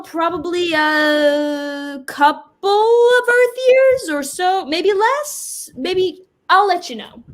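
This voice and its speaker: A woman actor in a staged play reading, playing Death as an exasperated, reluctant office worker with an emphatic, conversational delivery. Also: high-pitched. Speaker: actor in a community theater reading.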